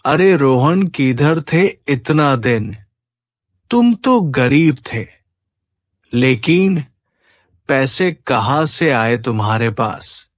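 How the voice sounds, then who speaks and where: A man's voice: mid-range voice, expressive, drawn-out words, long pauses, dry recording. A man voicing a spoiled, defiant young adult son in a cartoon moral story, with a demanding, insolent manner. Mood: curious, brash.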